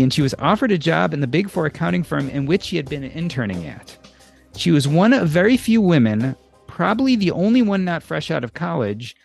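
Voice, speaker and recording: A middle-aged man hosting a podcast, reading a prepared intro in measured, emphatic phrases before asking his questions. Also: a little high, vocal fry. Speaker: podcast host. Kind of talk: scripted intro then interview questions.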